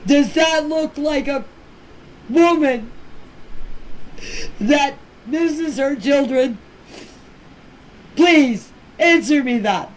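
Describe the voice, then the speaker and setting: A woman's voice: sits high, very slow, long pauses, poor audio. A woman in her fifties giving informal personal voice messages in a plainspoken, earnest, emphatic way, repeating herself for stress. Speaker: community member speaking about a case. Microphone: phone voice message.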